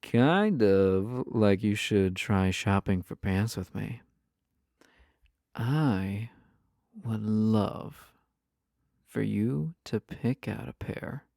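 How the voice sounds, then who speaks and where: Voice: mid-range voice, gravelly, darkish tone, no echo, clean studio sound. A man playing a flirty, playful, obsessive yandere spouse in an intimate audio roleplay, teasing the listener with suggestive lines and whispered asides.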